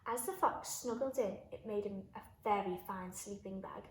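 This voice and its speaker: A woman storyteller narrating a picture book for children in an expressive, deliberate way. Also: medium pitch. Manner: animated, deliberate. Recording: roomy echo, faint background noise.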